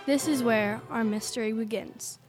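A woman's voice: on the high side, steady tone, normal speed, darkish tone, faint music behind. A woman reading scripted story narration as the narrator of an audio play.

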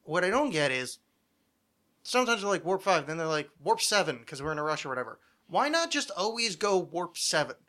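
A man's voice: high voice, sing-song, fairly quick, bright, forceful tone. A man hosting a podcast, chatting casually in an opinionated, nerdy, swearing rant. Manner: ranting, profane, nerdy. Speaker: podcast host.

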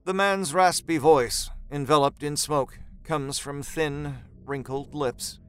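A man's voice: on the high side, slow, clean tone. A man narrating a scripted horror story in a dramatic storytelling style.

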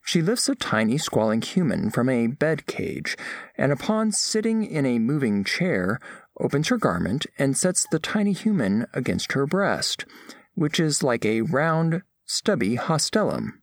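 A man plays a studious alien envoy giving a mission report in an audio drama. The diction is formal and exact, with a mid-range pitch that moves expressively. The sound is dry, with very little room. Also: big pitch swings, dry recording. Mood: observant, curious. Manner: formal, precise, deliberate diction. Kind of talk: scripted first-person narration, audio fiction.

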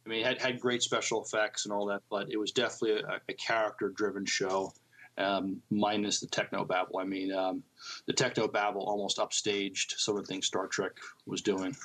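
A man co-hosting a podcast, reading out entertainment news and commenting in a somewhat halting, unpolished way. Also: on the low side, little pitch movement, a bit bright. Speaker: podcast co-host.

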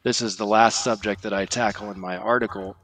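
A man on a religious podcast, earnestly explaining and quoting scripture in a measured way. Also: fairly low pitch, fairly even intonation, fairly bright tone. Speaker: podcast guest, article writer.

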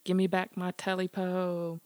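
A woman's voice: on the low side, monotone, a little slow, mellow, dry recording, natural voice. A woman voicing a chanting clawed creature. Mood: menacing. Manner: chanting, demanding. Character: short stubby clawed creature, menacing.

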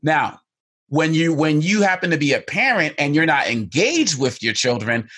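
A man's voice: a little high, expressive, moderate pace, fairly bright tone, breathy edge. Earnest man, emphatic repeats. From United States. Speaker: guest, educator on hate and extremism. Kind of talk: interview answers.

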